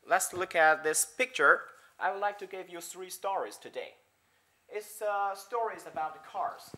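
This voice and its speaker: Young man, bright, fairly high voice, animated presenter, roomy hall echo. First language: Chinese. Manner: animated, emphatic, repeats key figures. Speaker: conference presenter. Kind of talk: business presentation. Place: conference hall. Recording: echoey conference hall.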